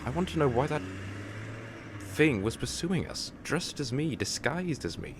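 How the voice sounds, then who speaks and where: A man's voice: a little high, sing-song, measured pace, background noise. A man in his thirties playing a suspicious, irritable homeowner in an audio drama, with clipped, defensive questioning. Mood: irritated, defensive. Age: 30s.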